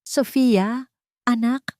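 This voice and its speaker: A woman's voice: a little high, steady tone, very slow, darkish tone, no room sound, studio quality, voice pushed up. A woman voicing a controlled, vengeful wife and mother in a dramatic story. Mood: alarmed, calling. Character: coldly composed vengeful wife and mother, cryptic.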